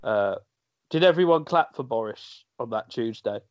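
A man's voice: medium pitch, normal speed, no room sound. Male co-host, bouncy jokey delivery, dry lo-fi remote audio. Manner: jokey, animated, laughs often. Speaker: podcast co-host. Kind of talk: casual banter.

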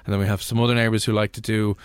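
A man's voice: fairly low pitch, flat delivery, racing, clean tone, no echo. An Irish man co-hosting a radio show, telling rambling, chatty stories in casual studio talk. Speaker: radio co-host.

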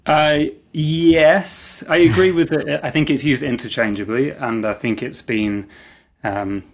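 A man's voice: on the high side, fairly even intonation, measured pace, slight background noise. A man speaking as a podcast guest, answering in a reflective, thinking-aloud way. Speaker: guest, marketing executive. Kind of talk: podcast interview.

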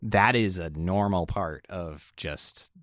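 A man hosting a podcast in casual chat, lively and quick to laugh, often restarting his sentences. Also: low voice, dry recording, clean studio sound. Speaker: podcast host.

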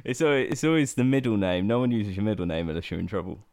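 Man, podcast host, easy-going, laughs often, low lively voice, dry mic. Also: quick pace, dry recording. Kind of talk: casual interview.

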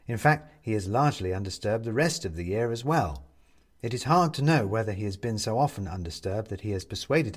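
A man narrating a scripted story as an audiobook reader, in a wry, measured manner. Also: on the low side.

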